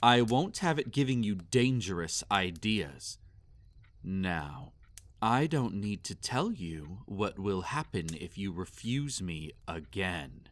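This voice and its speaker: A man playing a sadistic, possessive vampire master in a roleplay audio, speaking formally and condescendingly with silky menace and drawn-out commands. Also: low-pitched, big pitch swings, no room sound, exaggerated voice. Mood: cold, threatening. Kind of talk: roleplay audio acting, intimate and theatrical.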